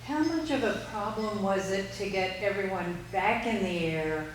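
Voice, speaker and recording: A woman's voice: mid-range voice, moderate pace. A woman in an audience, conversationally putting questions to a speaker. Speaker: audience member asking questions. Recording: very echoey room, distant, noisy.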